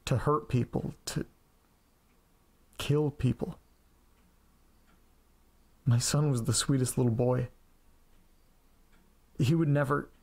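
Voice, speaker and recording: A male actor plays a quirky, scatterbrained man in an audio drama. His voice is mid-pitched with a noticeable gravelly creak. He talks casually and haltingly, like an ordinary guy at home. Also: steady tone, a little mellow. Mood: grave, haunted. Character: quirky, scatterbrained man living with pets and old letters. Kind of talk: audio drama acting, first-person narration and casual dialogue.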